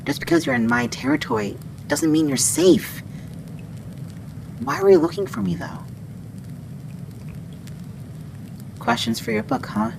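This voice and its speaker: Gravelly female wendigo, acted by a woman, friendly but skittish, swooping pitch, hesitant ASMR roleplay delivery, noisy recording. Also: sing-song, full character voice. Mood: wary, playful. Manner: hesitant, halting, hushing. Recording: clearly noisy background.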